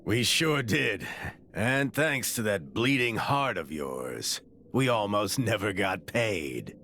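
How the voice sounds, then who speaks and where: A man playing a gruff, wry old theatre man in a video game, grumbling dryly and taking his time. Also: on the low side, big pitch swings, sharp tone, a little hiss or hum, exaggerated voice. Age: older. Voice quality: gravelly. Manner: dry, unhurried grumbling. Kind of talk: video game cutscene acting.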